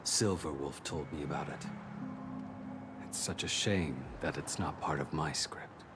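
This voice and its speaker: Extremely deep, brooding cold killer. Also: male voice, measured pace. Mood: grave, cold. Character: grave, brooding male killer, cold and world-weary. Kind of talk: dark video game drama voice acting. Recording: very loud music over the voice.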